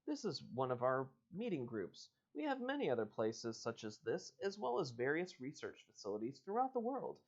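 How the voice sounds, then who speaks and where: A man's voice: medium pitch, huge pitch swings, moderate pace, few pauses, lo-fi sound. A man voicing a courteous, evasive spokesman of a secretive organization in a storybook, speaking formally and politely. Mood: smooth recruiting pitch. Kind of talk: storybook character reading.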